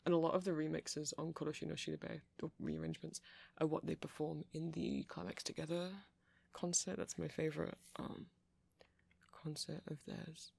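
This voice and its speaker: A man playing a nerdy, easily flustered vampire who rambles hesitantly and sweetly in a soft-spoken ASMR roleplay. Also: on the high side, little pitch movement, normal speed, vocal fry, breathy edge, dry recording, full character voice. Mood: absorbed, musing. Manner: hesitant, rambling, self-deprecating, breaks into soft laughs.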